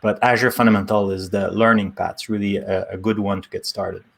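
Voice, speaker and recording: A man's voice: fairly low pitch, level tone, a little fast, creaky, fairly bright tone. A man with a French accent, whose first language is French, chatting casually and explaining things on a tech stream, with small grammar slips. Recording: online stream.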